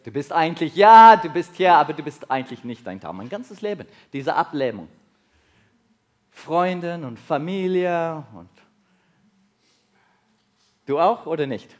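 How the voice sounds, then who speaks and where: South African man preaching in halting German. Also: a little high, big pitch swings. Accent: South African English. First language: English. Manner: halting non-native German with slips, asks the audience questions. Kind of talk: sermon to a congregation.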